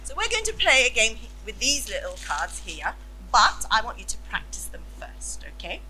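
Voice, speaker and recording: A woman helps lead a children's workshop, tossing out questions to draw the kids in. Her voice is fairly high, bright and very animated in pitch. A faint noise sits underneath. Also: normal speed, low-quality recording. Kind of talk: interactive lesson with children.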